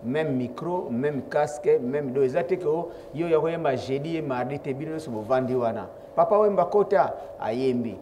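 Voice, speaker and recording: A man's voice: a little high, expressive, normal speed, hardly any pauses, dark tone, a little hiss or hum. A man from Kongo Central answering interview questions as a rapper, proud and assertive, speaking of himself by his stage name. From Kongo Central, DR Congo. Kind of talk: interview answers mixing Lingala and French.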